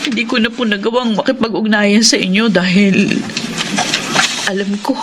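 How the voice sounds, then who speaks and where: A woman's voice: mid-range voice, moderate pace, a bit bright, a little hiss or hum, natural voice. An actress playing a sorrowful young widowed mother in a radio drama, respectful and imploring. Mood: pleading.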